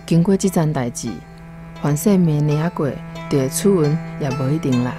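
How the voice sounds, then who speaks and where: A woman with a Taiwanese accent playing a gentle, worried, consoling wife of a poor peddler in a radio drama. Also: deep voice, moderate pace, lightly acted. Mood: consoling, resigned. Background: plucked guitar music.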